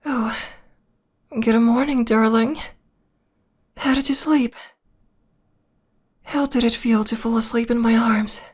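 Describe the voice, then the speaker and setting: A woman voicing a possessive, adoring vampire lover in an intimate ASMR roleplay, with a breathy whisper and a slow, tender, lingering delivery. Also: on the high side, steady tone. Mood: possessive tenderness.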